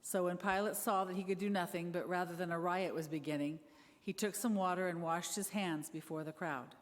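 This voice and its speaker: A woman reads scripture aloud as a dramatic narrator. Her voice sits a little low and carries a long echo from the hall. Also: fairly even intonation, fairly quick. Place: church service. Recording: echoey hall, long reverb.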